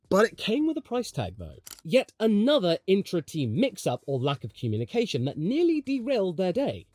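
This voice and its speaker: A man's voice: high-pitched, darkish tone, no echo. A man delivering scripted motorsport commentary in an emphatic, dramatic way, as the host of a video essay.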